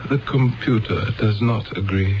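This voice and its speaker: An actor playing a grave, paternal elder of a peaceful future world in a 1970s radio drama, speaking solemnly and deliberately. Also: male voice, fairly low pitch. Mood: paternal, firm. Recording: dull lo-fi 1970s radio broadcast.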